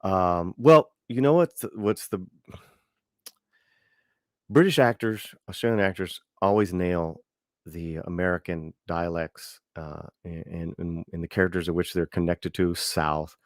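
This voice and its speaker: A man's voice: deep voice, a little slow, no room sound, studio quality. A man in a casual chat who rambles emphatically, restarting his sentences often.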